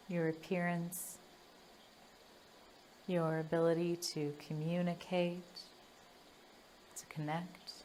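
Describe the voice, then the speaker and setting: Woman doing guided meditation, real slow n soothing, lowish voice, long pauses. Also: darkish tone, slight background noise. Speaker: hypnotherapist leading a guided meditation.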